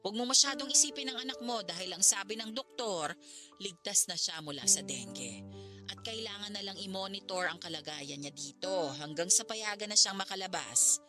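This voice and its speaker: A woman's voice: mid-range voice, animated, moderate pace, over music, natural voice. A woman playing a protective, disapproving middle-aged grandmother in a Filipino radio drama. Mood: caring. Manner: assertive, motherly.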